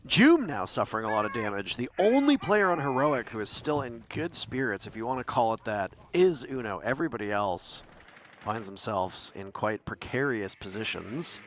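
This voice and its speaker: A man casting live esports play-by-play, excitable, his voice jumping up at big plays. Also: medium pitch, normal speed, a little hiss or hum. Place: broadcast desk at a live event.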